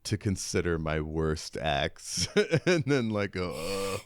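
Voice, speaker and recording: A man's voice: low-pitched, very animated, takes it slowly, dry recording, studio quality. A man co-hosting a podcast in casual, informal chat, with a jokey, self-deprecating manner. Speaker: podcast co-host.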